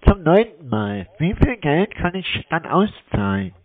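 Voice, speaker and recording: A man's voice: on the high side, sing-song, a little slow. A man on a phone call, questioning insistently and repeating himself as a customer. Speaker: caller posing as a customer. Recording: narrow tinny phone line.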